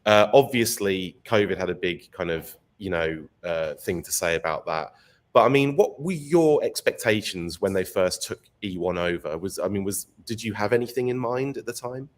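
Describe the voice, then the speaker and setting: A croaky-voiced man hosts a casual live show, chatting and laughing easily between topics. His voice sits a little low and sounds worn from being unwell. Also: lively intonation, normal speed. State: croaky voice from illness. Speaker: podcast host. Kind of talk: casual live-stream chat.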